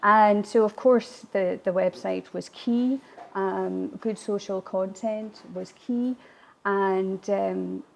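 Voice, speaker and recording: A woman who grew up speaking Irish Gaelic talks in an interview about her work. Her voice has a gravelly creak and sits at a middling pitch. She is reflective, often pausing partway through a phrase to find her words. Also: steady tone, slight background noise. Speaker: whisky distillery visitor attraction manager. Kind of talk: interview, recounting a business launch.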